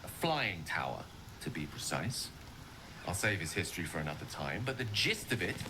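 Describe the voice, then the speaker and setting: An actor with an English accent playing an eloquent, rueful wizard in a fantasy game, telling his tale in flowery, dramatic phrases with measured pauses. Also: mid-range voice, noisy background, natural voice. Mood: wry, confiding, then dramatic storytelling. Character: eloquent, rueful male wizard recounting his own folly. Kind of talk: theatrical storytelling in a fantasy game.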